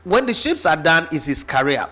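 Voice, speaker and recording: A man's voice: on the high side, very animated, normal speed, pushed and bright. A man with a Nigerian accent hosting a sports show, giving opinionated football analysis and firing off rhetorical questions. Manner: passionate, argumentative, fires rhetorical questions.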